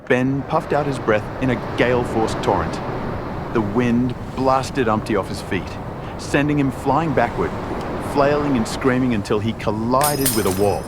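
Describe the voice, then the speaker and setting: A man's voice: mid-range voice, unhurried, heavy background noise. A man reading scripted fantasy fiction as a storyteller, in a dramatic narration style.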